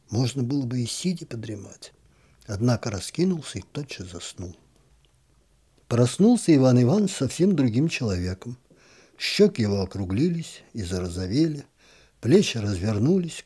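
A man narrating a literary short story in a calm, expressive reading style. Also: medium pitch, husky. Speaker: audiobook narrator.

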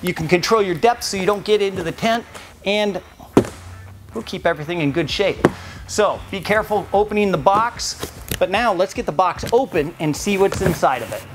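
Man giving relaxed step-by-step install tips. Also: high-pitched, normal speed, music in the background. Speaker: off-road shop installer. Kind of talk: how-to install demonstration. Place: large garage workshop.

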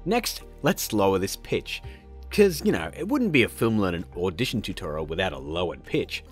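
A man hosting a casual software tutorial, upbeat and playful with joking asides. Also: deep voice, big pitch swings, normal speed, soft background music. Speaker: tutorial host.

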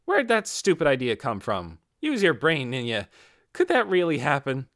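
A man's voice: medium pitch, wildly swooping pitch, normal speed, a bit bright, no echo, studio quality, voice pushed up. A man voicing a flippant, easygoing adventurer who needles companions in a fantasy audiobook. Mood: scoffing.

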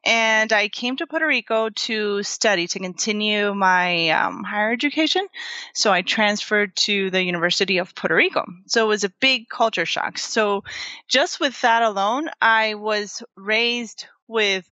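A woman who grew up in Florida answers a podcast host's questions. She thinks aloud, stopping and restarting often. Her bright voice comes through a dry, dull remote line. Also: medium pitch, moderate pace, no room sound. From Florida, USA. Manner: hesitant, reflective, many fillers. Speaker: podcast guest. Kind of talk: casual interview answers.

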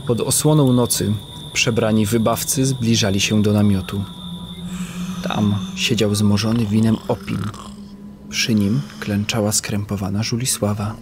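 A man's voice: lowish voice, background noise. A man narrating a scripted legend in a literary, dramatic storytelling manner.

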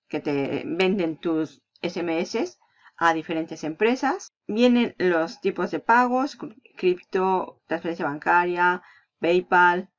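A woman with a Peninsular Spanish accent hosting a casual screen-recording walkthrough, chatty and upbeat, laughing between points. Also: lowish voice. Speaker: affiliate marketing channel host. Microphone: voice-over on a screen recording. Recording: slightly dull lo-fi voice-over.

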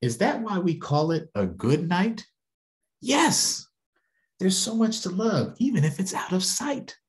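A man's voice: sits high, big pitch swings, unhurried, pitched above the performer's own voice. An American man voicing a scared, fretful little girl from a picture book, with worried, swooping exclamations. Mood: delighted.

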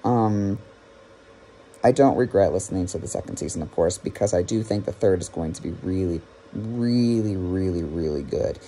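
A man's voice: sits low, normal speed, mellow, poor audio. A young man giving a casual, rambling review to camera with wry, self-deprecating asides, recorded on a phone at arm's length in a small room. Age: young adult. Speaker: podcast reviewer. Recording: clear background room noise.

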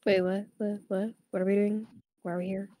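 A woman's voice: mid-range voice, little pitch movement, fairly quick, mellow, no room sound, lightly acted. A woman playing a bewildered, anxious little jester in a cartoon fandub, hesitant and flustered. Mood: confused.

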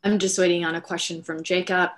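A woman's voice: on the low side, level tone, quick pace. A middle-aged woman speaking casually and unscripted as a writer-director hosting a question and answer session on a video call. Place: indoor room at home, on a video call. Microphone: headset on a video call.

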